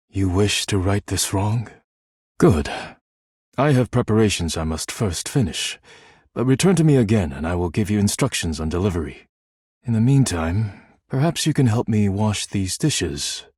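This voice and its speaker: An actor voicing a stern, proud, duty-bound living dishware caretaker in a video game, with a formal, clipped, solemn delivery and a husky voice. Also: male voice, on the low side, darkish tone, no room sound, clean studio sound. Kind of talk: video game character voice lines.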